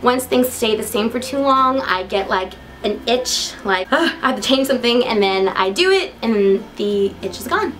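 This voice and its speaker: A woman's voice: a little high, animated, normal speed, hardly any pauses, a bit bright. A young woman with an American accent chatting casually to camera as a vlogger, in a giggly, upbeat and talkative manner. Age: young adult. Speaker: vlogger introducing herself.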